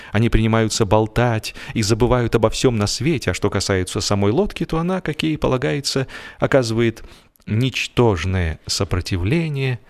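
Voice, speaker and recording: A man narrating a humorous literary story with expressive, deliberate, measured phrasing. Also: on the low side. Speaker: audiobook narrator.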